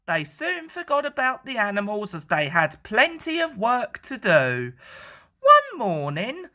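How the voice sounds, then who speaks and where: A woman tells a children's story with very lively intonation. The tone is bright and crisp-edged, and the pace is slow and deliberate. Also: medium pitch.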